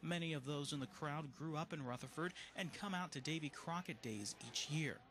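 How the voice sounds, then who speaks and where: A man's voice: a little high, normal speed. A man with an American accent reading scripted local TV news as an anchor and reporter. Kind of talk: scripted local TV newscast delivery. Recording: noticeable background noise.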